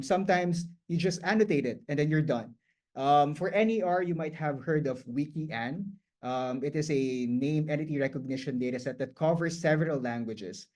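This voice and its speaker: A man who grew up speaking Tagalog gives a guest lecture at an ordinary, mid-range pitch. He explains things casually, reaching for words and leaning on "um" and "like" as he restarts his phrases. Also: darkish tone. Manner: loose and conversational, frequent "um" and "like", restarts mid-phrase.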